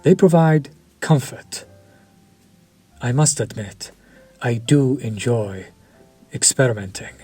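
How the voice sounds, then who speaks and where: Vampire chef, played by a man: unhurried, husky, formal and seductive, over faint music. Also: medium pitch, lively intonation, mellow. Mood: suave. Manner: polished, formal and flirtatious, lingering over words. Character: suave vampire chef, courteous, quietly predatory. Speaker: voice actor in audio roleplay. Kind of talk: scripted audio roleplay.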